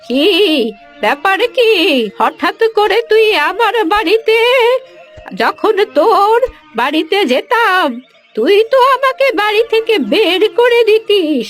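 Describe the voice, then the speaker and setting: A woman's voice: very high-pitched, sing-song, a bit bright, soft background music, heavily acted. A woman playing a proud, betrayed old village mother in a cartoon story, scornful and reproachful. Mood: bitter, reproachful. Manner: scornful, reproachful, slow emphatic delivery. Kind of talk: Bengali cartoon moral-story acting.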